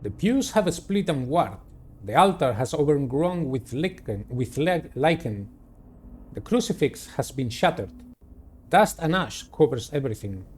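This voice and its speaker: Male game narrator, somewhat high and highly expressive, dramatic reader with asides, faintly noisy recording. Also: a little slow. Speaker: gaming video host. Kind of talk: reading visual novel narration aloud with personal commentary.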